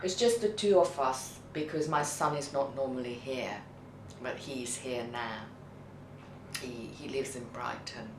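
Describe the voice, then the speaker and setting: A middle-aged woman speaks in a deep register, in an echoey room. She talks candidly and unhurriedly, searching for words and repeating some as she goes. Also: measured pace. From outside the UK, non-English-speaking country. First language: not English. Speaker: documentary subject, interpreter. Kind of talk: candid reflective conversation. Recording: echoey room with background hiss.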